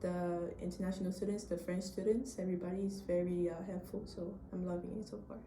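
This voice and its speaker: A woman's voice: fairly low pitch, flat delivery, fairly quick, mellow, roomy sound, a little hiss or hum, rough recording. A young woman from Gabon in her twenties, a master's student answering interview questions in an upbeat, hesitant way.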